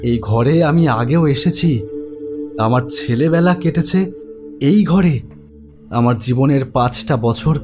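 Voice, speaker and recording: A man's voice: a little high, darkish tone, natural voice. An actor playing an anxious, haunted man who dramatically tells his own horror story. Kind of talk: dramatic first-person horror narration.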